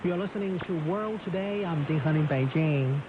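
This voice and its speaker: A man's voice: on the high side, fairly quick. A man presenting the news as a radio host, heard over shortwave. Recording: muffled shortwave radio, loud static.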